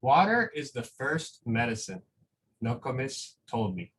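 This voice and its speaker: A man reading a picture book aloud as a solemn young girl, in a measured storytelling manner. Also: mid-range voice, big pitch swings, fairly bright tone, breathy edge, far from the mic, exaggerated voice, poor audio.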